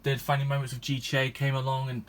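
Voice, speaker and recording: A man's voice: mid-range voice, monotone, fast talker, slight background noise. A 21-year-old man, a gaming YouTuber chatting to his viewers in a rambling, hesitant way, full of restarts and ums. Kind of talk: casual vlog chat to viewers.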